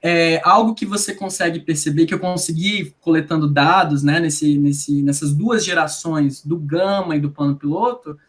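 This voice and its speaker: A woman's voice: sits low, fairly even intonation, normal speed, few pauses. A woman from Brasília with a Brasília accent, a linguistics researcher explaining in a conversational interview, didactic and full of tag questions. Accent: Brasília Portuguese. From Brasília, Brazil.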